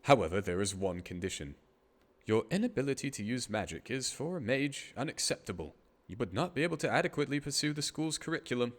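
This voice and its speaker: A man playing a pompous, self-congratulating magic school headmaster, formal and melodramatic, in an anime fandub. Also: mid-range voice.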